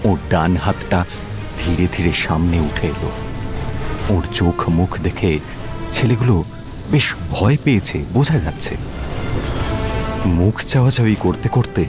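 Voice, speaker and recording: Deep-voiced male mystery narrator. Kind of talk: dramatic scripted story narration. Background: music.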